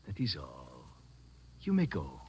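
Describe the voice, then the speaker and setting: Deep-voiced French police inspector. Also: male voice, huge pitch swings, slow, full character voice. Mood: probing. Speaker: actor in a radio drama. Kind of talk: old-time radio drama acting. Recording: old radio recording with faint noise.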